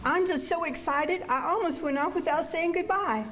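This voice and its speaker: A woman playing an excitable, sweet young housekeeper in a radio drama, speaking politely and earnestly. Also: very high-pitched, normal speed. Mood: excited, grateful. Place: school auditorium stage. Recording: live stage recording, faint noise.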